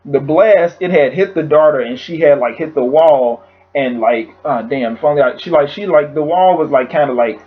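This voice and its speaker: A man with a hoarse voice casually recapping a TV episode at home, rambling with lots of fillers. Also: fairly high pitch, moderate pace, phone-quality sound. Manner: rambling, filler-heavy storytelling. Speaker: YouTube reviewer.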